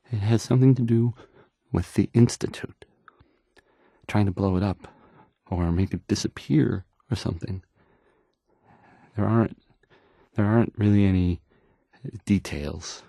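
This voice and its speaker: A painfully awkward game-world radio DJ with a lowish, dry-recorded voice. He stammers, hesitates and second-guesses himself as he reads out the news. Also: gravelly, mellow, no room sound, full character voice. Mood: anxious, unsure. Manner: halting, stammering, hesitant, awkward, self-doubting. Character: nervous, awkward, insecure male radio DJ. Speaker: radio DJ character.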